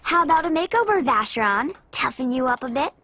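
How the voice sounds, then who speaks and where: A woman's voice: high-pitched, animated, brisk, pushed and bright, full character voice. A woman playing a sweet, plucky young woman who hides her sadness, in a dubbed video game cutscene. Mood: playful. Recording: music behind the voice.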